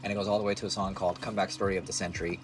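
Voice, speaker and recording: Nasal deep-voiced man in his forties. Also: little pitch movement, very fast. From United States. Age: 40s. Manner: rambling, self-deprecating, chatty. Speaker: musician and content creator. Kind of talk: casual talk to camera.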